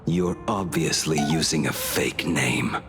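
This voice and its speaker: A man playing a grim, haunted outlaw swordsman in a game cutscene, brooding and solemn, with a gravelly voice. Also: slow, over music, full character voice. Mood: cold, curt. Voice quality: very deep, gravelly. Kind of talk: dramatic game cutscene acting.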